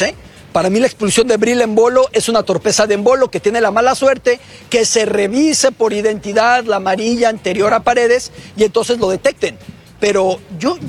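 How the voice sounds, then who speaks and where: A man giving measured, reasoned sports analysis in a casual discussion. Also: sits high, slightly rough. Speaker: sports pundit. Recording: music playing under the voice.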